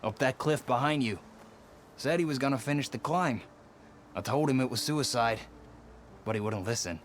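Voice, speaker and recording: Young soldier climber played by a man, medium pitch, earnest, shaken, faint ambience behind, game cutscene. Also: normal speed, slight background noise, lightly acted. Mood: concerned. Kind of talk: video game character acting.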